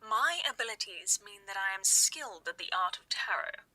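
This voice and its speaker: A woman playing a theatrical, knowing Romany fortune-teller in an audio drama, deliberate and portentous, with a breathy, sometimes hushed delivery. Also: medium pitch, lively intonation, dry recording. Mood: hushed, mystical. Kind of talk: audio drama acting in podcast interview.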